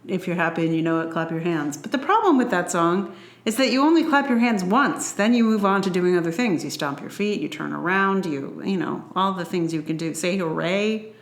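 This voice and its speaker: A woman hosting a solo podcast in a casual, rambling way, often interrupting herself with asides. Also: low-pitched. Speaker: podcast host. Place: indoors, a room near a train line. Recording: roomy, echoey home room.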